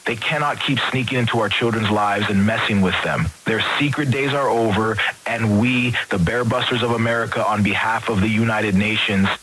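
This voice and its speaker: A stern leader of a group of bear hunters makes grim declarations, as a man plays him. His voice sits low. A faint hiss runs under it. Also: steady tone, normal speed, hardly any pauses, a bit bright, lightly acted, rough recording. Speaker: leader of a creature-hunting group.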